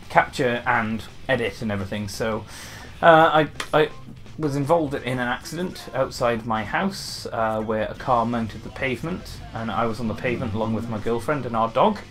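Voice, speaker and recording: A young man from Wales with a light Welsh accent, chatting casually to camera as a gaming YouTuber answering questions, rambling with restarts and fillers. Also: mid-range voice.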